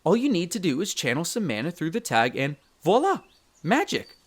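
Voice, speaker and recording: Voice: mid-range voice, normal speed. A performer playing a young male elf explorer, a chatty, friendly guide speaking to the listener in an audio roleplay. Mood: enthusiastic explaining. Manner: expressive, chatty, stammers when flustered.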